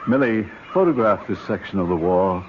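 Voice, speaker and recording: Earnest older archaeologist, muffled radio. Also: male voice, low voice, animated, normal speed. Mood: gently concerned. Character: older archaeologist uncle, earnest, principled and concerned. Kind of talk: 1970s radio drama acting. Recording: muffled, noisy old radio broadcast.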